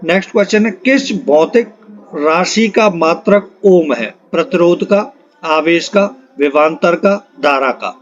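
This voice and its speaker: High-pitched man teaching. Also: animated, unhurried. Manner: instructional, repeats questions and answers for emphasis. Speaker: exam-prep physics teacher. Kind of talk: question-and-answer lesson, reading out questions and options. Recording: dull, lo-fi microphone sound.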